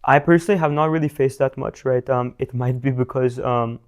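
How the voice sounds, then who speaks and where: A man's voice: mid-range voice, fairly even intonation, fairly quick, a little mellow. A teenage man from India, a young business founder answering interview questions in a casual, rambling way with many fillers and restarts. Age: teens.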